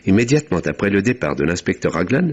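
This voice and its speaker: Deep, animated gentleman doctor. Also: quick pace, husky, lo-fi sound, full character voice. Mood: earnest recounting. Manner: formal, literary, theatrical. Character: courteous village doctor narrator, devoted, defensive confidant. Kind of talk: radio mystery narration and dialogue.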